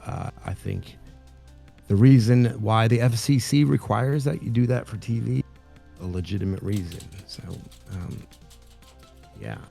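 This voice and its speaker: A man with a gravelly, mellow low voice chats loosely on a live stream. He stops and restarts often, filling gaps with "um" and "uh". Faint music plays underneath. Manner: halting, many fillers and restarts. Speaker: streamer co-host. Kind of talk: casual live-stream interview.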